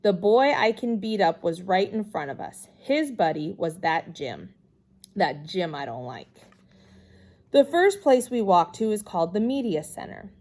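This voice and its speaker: A woman giving an expressive read-aloud of a children's chapter book in an animated manner. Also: medium pitch, measured pace. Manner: animated, acts out the dialogue. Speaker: storybook reader.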